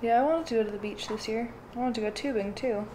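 A woman's voice: fairly high pitch, fast, warm tone, slight background noise, low-quality recording. A young adult woman hosting a craft livestream, chatting casually in a blunt, dry way. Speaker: livestream host. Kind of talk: casual chat while doing crafts. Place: living room or home craft room.

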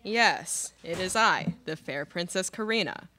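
Young woman as a bright, low-voiced mock-dramatic princess. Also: animated, a little slow, slight background noise. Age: young adult. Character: sardonic student actress playing a dramatic fair princess. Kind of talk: live comedic radio play. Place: small studio room, at a stage mic.

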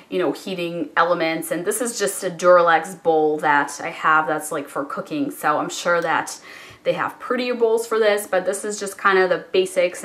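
Hoarse young woman chatting to camera. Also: lowish voice, a bit bright. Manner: chatty, lots of fillers like you know and um. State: hoarse from a cold. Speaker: beauty and home vlogger. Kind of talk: casual product chat to camera.

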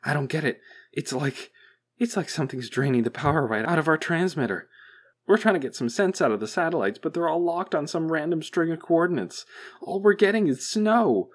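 Man doing a high, panicky, stammering technician. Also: animated, fairly quick, husky. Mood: flustered. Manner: stammering, flustered. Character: panicky, stammering junior technician, wide-eyed and flustered.

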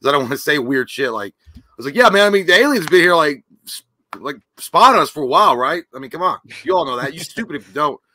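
Loud, crude, joking man in his thirties; bright higher voice. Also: animated, quick pace, dry recording. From Kentucky. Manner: loud, profane, playful. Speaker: co-host. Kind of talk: casual livestream chat. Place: home room with shelves. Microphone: desk mic on video call.

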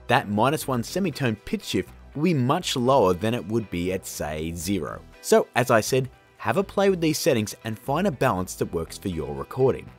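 A man in his thirties with a husky, mid-pitched voice presents a how-to video. His delivery swings widely in pitch, informal and jokey, with stress on key words. Faint music plays behind him. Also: very animated, moderate pace, few pauses. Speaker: video tutorial host. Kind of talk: casual software tutorial narration.

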